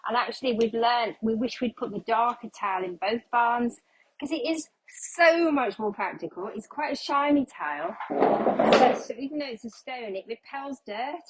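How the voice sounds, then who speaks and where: A middle-aged woman chats away while she mops, thinking aloud and poking fun at herself. She sounds a little out of breath and slightly congested, with her voice starting to go. Her voice sits fairly high, with a faint hum of noise in the room. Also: normal speed. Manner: chatty, self-deprecating, thinks aloud. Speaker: cleaning vlogger. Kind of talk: casual chat while cleaning. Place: home kitchen while mopping.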